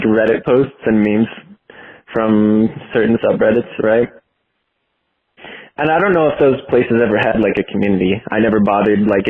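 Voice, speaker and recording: Over a narrow phone line, a young man with a low, husky voice rambles through a casual voicemail. He sounds hesitant and informal, working out his thoughts as he goes. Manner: hesitant, informal, thinking aloud. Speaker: voicemail caller.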